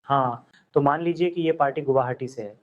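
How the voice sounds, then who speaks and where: A man teaching accounting software step by step, voiced over a screen recording, often asking the viewer what comes next. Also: mid-range voice, brisk, lo-fi sound. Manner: step-by-step instructions with questions to the viewer. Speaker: software tutor.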